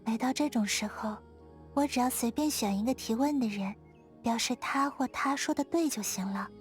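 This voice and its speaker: High-voiced, dreamy young moon maiden played by an actress. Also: female voice, normal speed, lightly acted. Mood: calm, detached. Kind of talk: scripted video game character dialogue. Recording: studio voice over background music.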